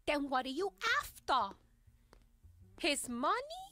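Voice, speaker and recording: A woman's voice: high voice, huge pitch swings, slow pace, sharp tone, heavily acted. A woman playing a brash, jealous live-in partner, scolding and sassy in a zany audio comedy. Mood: accusing. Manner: scolding, sassy, peppered with Spanish insults.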